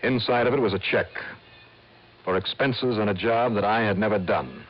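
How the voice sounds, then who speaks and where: A man's voice: on the low side, normal speed, close to an everyday voice. An American man playing a tough, wry insurance investigator in an old-time radio detective drama. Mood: cool, narrating. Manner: hard-boiled, assertive. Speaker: actor and first-person narrator of detective drama. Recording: muffled vintage radio recording, music behind.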